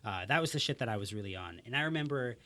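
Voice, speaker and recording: A man's voice: mid-range voice, lively intonation, rapid-fire, bright, forceful tone. A man in his twenties interviewing a guest, thinking aloud with restarts. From Ontario, Canada. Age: 20s. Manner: hesitant, many restarts and fillers while thinking aloud. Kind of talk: casual interview chat.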